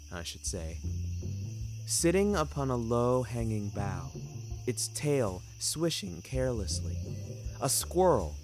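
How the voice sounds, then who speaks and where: A young man narrates a story in a lowish voice at a very unhurried pace. His delivery is literary and dramatic, each phrase carefully placed, with faint music underneath. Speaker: narrator and author of a fiction podcast. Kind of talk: scripted first-person story narration.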